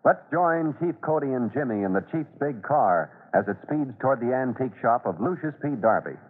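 A man's voice: medium pitch, animated, a little fast, husky, phone-quality sound. A man narrating a classic radio adventure serial in an earnest, suspense-building, dramatic storytelling style on an old recording.

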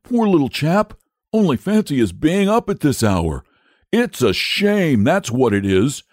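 A man's voice: a little high, sing-song, unhurried, no room sound, studio quality, pitched above the performer's own voice. A man voicing a big, lazy, self-important storybook dragon with jovial, clipped old-fashioned upper-class slang. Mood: blustering, self-righteous.

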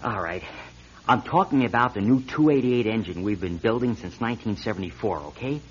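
An actor playing an earnest, insistent auto lab technician turned whistleblower in a radio drama, hesitant at times and urgent at others. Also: male voice, fairly low pitch, brisk, slightly rough. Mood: earnest, explaining. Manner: hesitant, then urgent and emphatic. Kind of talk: 1970s-style radio drama acting. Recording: dull lo-fi radio recording.

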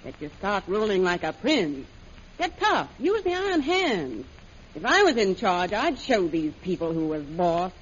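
An actress playing a brash, scheming adventuress with slangy, insolent talk in a 1930s-style American radio serial. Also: female voice, fairly low pitch, very animated, a little slow. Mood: commanding, cold. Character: brash, scheming, hard-boiled adventuress, a ruthless woman. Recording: muffled, noisy old radio recording.

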